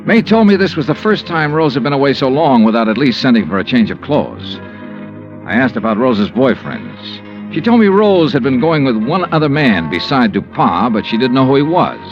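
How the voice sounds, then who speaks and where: An actor playing a wry, hard-boiled insurance investigator who narrates a 1950s radio drama. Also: male voice, medium pitch, very animated, moderate pace. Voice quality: dry, clipped, hard-boiled. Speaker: narrator and lead. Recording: dull old radio broadcast with music.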